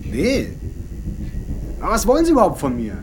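A sceptical, defensive officer in a radio drama. His high voice moves expressively through blunt, offhand replies, over clear background noise. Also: huge pitch swings, a little fast.

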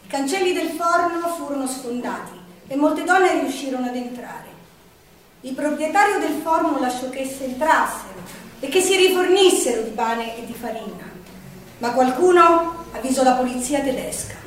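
A woman's voice: high voice, expressive, normal speed, slight background noise. A woman performing a theatrical narration in a declamatory way. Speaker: stage performer. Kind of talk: theatrical storytelling on the wartime Resistance. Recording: very echoey stage, distant mic.

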